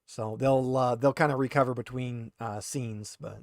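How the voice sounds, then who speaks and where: A man running a tabletop roleplaying game, explaining rules and narrating in a casual, halting way as he thinks aloud. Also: medium pitch, fairly even intonation, no room sound, clean studio sound. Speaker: game master.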